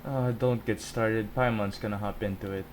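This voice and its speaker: A man's voice: fairly low pitch, fairly even intonation, normal speed, a little hiss or hum. A man who streams games, giving casual, reactive commentary as he plays. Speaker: game streamer.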